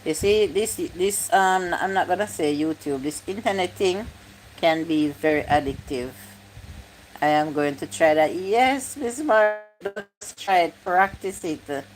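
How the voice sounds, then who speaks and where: A woman's voice: fairly low pitch, unhurried, slight background noise, poor audio. A woman, chatting casually on a livestream, reading comments aloud and repeating her points. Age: 50s. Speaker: YouTube live streamer.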